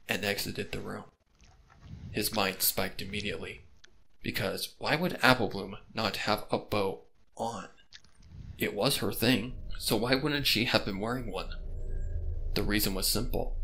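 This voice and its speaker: A man's voice: fairly low pitch, vocal fry, bright, forceful tone, a little hiss or hum, low-quality recording. A man narrating a scripted story in a dramatic style.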